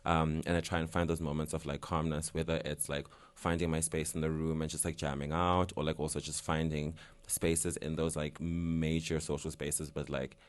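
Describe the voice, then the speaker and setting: A man's voice: rumbling low, level tone, moderate pace. A young man from Zimbabwe, a guest artist talking casually in an interview, rambling with lots of fillers and laughing often.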